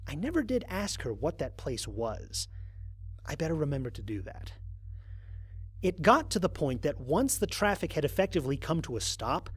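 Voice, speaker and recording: A weary male pilot acted by a man logs his mission in a sci-fi audio drama. His voice sits slightly high and moves with lively inflection. He talks conversationally, with dry, grumbling humor, in a very dry recording with no room sound. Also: moderate pace, husky, dry recording. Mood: wry, bemused. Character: weary, wry male human aerospace pilot on an alien world.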